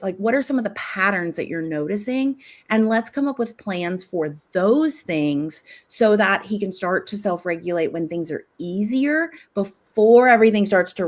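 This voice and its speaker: A middle-aged woman, a parenting expert, giving conversational advice, animated and laughing easily as she acts out what a parent might say. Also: mid-range voice.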